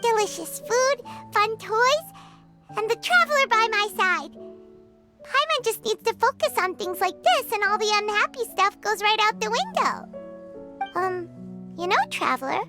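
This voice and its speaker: A woman's voice: very high voice, expressive, moderate pace. A woman voicing a tiny, bubbly and pushy female fairy companion in a video game, who talks of herself in the third person and pleads in a whiny way. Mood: comforting. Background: game music.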